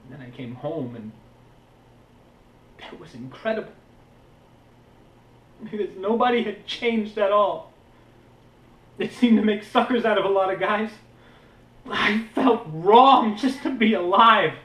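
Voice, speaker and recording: A man playing an earnest, guilt-burdened young war veteran in a halting, intense, confessional stage monologue. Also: high-pitched, expressive, fairly bright tone, distant mic. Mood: impassioned. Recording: roomy, echoing indoor recording.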